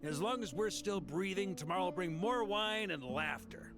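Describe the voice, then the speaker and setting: An actor playing a hearty, rugged knight commander in a video game, jovial and philosophical with a rousing, theatrical manner. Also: male voice, high-pitched, animated, normal speed, creaky. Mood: hopeful. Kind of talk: dramatic video game voice acting. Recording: music behind the voice.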